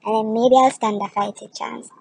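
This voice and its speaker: A woman performing a theatrical audition monologue as an exasperated, emphatic complainer disgusted by sick people, with deliberate pauses. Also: fairly high pitch, steady tone, creaky, warm tone, slight background noise, low-quality recording. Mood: wary warning.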